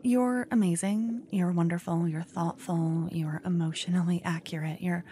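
A woman voicing a jealous, doting young witch girlfriend in an intimate ASMR roleplay, breathy and often whispering, with dramatic delivery. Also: on the low side, fairly even intonation, normal speed, dark tone, a little hiss or hum, close to an everyday voice. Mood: venting, jealous. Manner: dramatic, swinging from cooing to venting. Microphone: close ASMR microphone.

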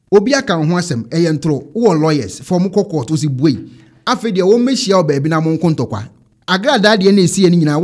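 Husky, animated Ghanaian man commenting. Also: sits high. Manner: emphatic, repeats phrases for effect. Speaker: online commentary show host. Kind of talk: opinionated reaction commentary. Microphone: studio microphone close in front.

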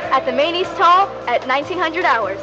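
A young woman reading scripted school announcements in a bright, chipper manner. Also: high voice, lively intonation, normal speed, muffled recording, over music, a crowd in the background. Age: young adult.

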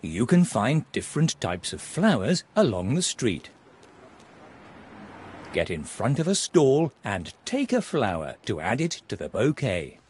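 Very animated game narrator. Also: medium pitch, measured pace, a little hiss or hum. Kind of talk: scripted children's game instructions.

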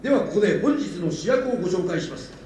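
An actor playing a showy, excited French film producer making a formal stage announcement in a radio drama. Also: male voice, sits high, fast talker, slight background noise. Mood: formal, proud. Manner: formal, projecting showman's announcing. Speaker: film producer addressing an audience. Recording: echoey hall, distant from mic.

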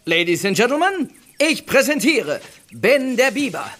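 Showy, animated young designer. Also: female voice, a little high, measured pace, exaggerated voice. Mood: proud, showy. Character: proud, showy young woman designer presenting cute creations. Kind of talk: German children's audio drama acting.

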